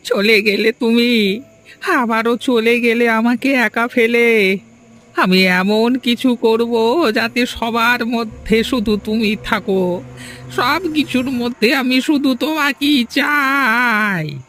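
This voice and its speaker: Grieving fisherman for cartoon melodrama, high-pitched, unhurried, devoted and pleading, over music. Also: a bit bright, slight background noise, exaggerated voice. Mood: desperate obsession. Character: lonely, obsessed fisherman grieving his dead wife. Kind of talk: Bengali cartoon melodrama acting.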